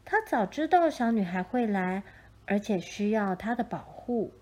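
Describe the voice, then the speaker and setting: A woman storyteller reads a children's tale from a script. Her voice is mellow and mid-pitched. She takes her time, keeping a gentle, measured delivery throughout. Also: expressive. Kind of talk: scripted children's picture-book narration.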